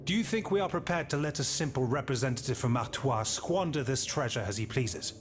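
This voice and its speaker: An actor playing a scornful, sarcastic rival politician of a revolutionary assembly in a game cutscene. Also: male voice, mid-range voice, expressive, fairly quick, lightly acted. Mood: indignant scorn. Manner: mocking, scornful. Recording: music under the voice.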